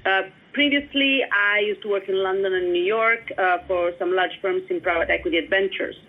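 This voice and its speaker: A woman's voice: medium pitch, moderate pace. A woman from Italy with an Italian accent, a guest investor explaining her firm in a businesslike way. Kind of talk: interview answers. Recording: tinny phone-like line.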